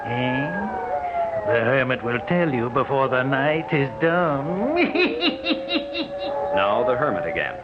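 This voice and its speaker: A man's voice: medium pitch, huge pitch swings, slow. A man playing a creepy, cackling old hermit, narrating in an ominous, theatrical 1940s radio horror style. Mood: ominous, gleeful. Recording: muffled, very noisy vintage radio recording.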